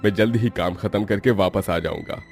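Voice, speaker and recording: A man voicing a kind, reassuring young husband and father, gentle and reasoning, in a cartoon family story. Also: fairly low pitch, a little fast, creaky, soft background music.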